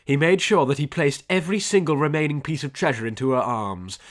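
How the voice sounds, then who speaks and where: A man's voice: a little high, brisk. A man reading a scripted adventure story as an audiobook narrator, with dramatic delivery.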